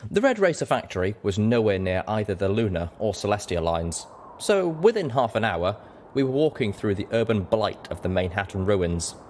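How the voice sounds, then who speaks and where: A man's voice: fairly low pitch, very animated, normal speed, a little mellow, a little hiss or hum. A man reading first-person fiction aloud as scripted audiobook narration. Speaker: audiobook reader.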